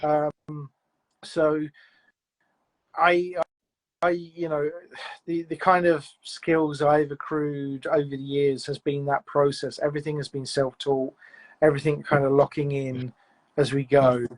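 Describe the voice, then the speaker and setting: A man's voice: fairly high pitch, level tone, unhurried, low-quality recording. A man being interviewed about producing audio fiction, talking in a reflective, rambling way with lots of "you know"s and restarts. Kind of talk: casual interview chat.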